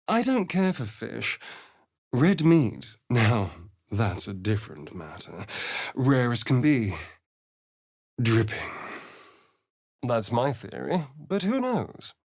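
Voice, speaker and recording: An actor playing a sly, vain vampire spawn rogue in a video game, speaking in an arch, theatrical drawl. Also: male voice, mid-range voice, darkish tone, no echo, clean studio sound, full character voice. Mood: amused, sardonic. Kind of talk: video game character voice acting.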